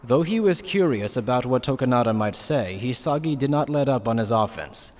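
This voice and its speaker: A man's voice: medium pitch, expressive, a little fast, noisy background. A man reading dramatic scripted story narration.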